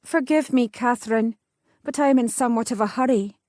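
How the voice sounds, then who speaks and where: A woman's voice: on the high side, fairly quick, darkish tone, no room sound, studio quality, pitched above the performer's own voice, close to an everyday voice. A woman voicing a wry, headstrong young noblewoman, speaking in a lilting, old-fashioned way.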